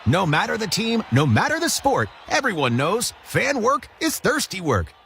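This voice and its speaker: A man reading an ad as excited mock sports commentary, hyped and punchy. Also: sits high, moderate pace, gravelly, husky.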